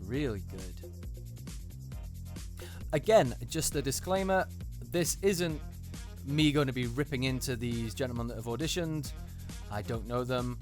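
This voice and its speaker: A man's voice: medium pitch, animated, a little slow, darkish tone, faint music behind. A man giving unscripted casual commentary as host, hesitant and often repeating himself for emphasis. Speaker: host, voice actor reviewing auditions.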